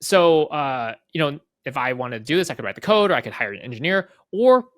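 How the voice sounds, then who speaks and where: A man casually walking through a software demo off the cuff, conversational, often checking in with tag questions and voicing little exchanges. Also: on the high side, very animated, fairly quick, no echo. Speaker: guest product leader giving a software demo. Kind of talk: casual off-the-cuff product walkthrough.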